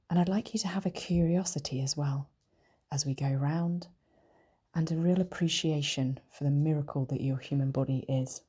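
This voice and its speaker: Lady doing guided meditation, low soft whispery voice, really mellow n gentle, lots of slow pauses. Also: breathy edge. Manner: gentle, soothing, slow and deliberate with long pauses. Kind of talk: guided meditation, body scan.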